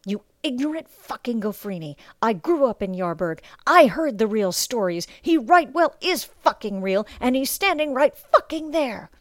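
A woman's voice: mid-range voice, moderate pace, hardly any pauses, close to an everyday voice. A woman voicing a brash male sailor who swears constantly and mocks his shipmate. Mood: scornful. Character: foul-mouthed male sailor, scornful and brash.

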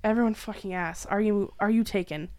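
A woman hosting a podcast, talking casually with an excitable, self-mocking and emphatic manner. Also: medium pitch, a little fast, noisy background. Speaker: podcast host and writer. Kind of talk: casual chatty podcast intro.

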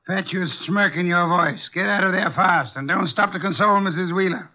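An actor playing a pompous, brilliant older armchair detective in a 1950s radio drama, speaking with precise, erudite diction. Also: male voice, high-pitched, fairly quick, natural voice. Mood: curt, commanding. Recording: muffled vintage 1950s radio recording.

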